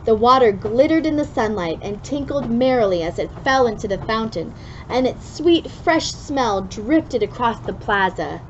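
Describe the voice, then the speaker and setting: A woman reading a children's story aloud expressively, drawing out key words with deliberate emphasis. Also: on the high side, expressive. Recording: dull lo-fi sound with clear background noise.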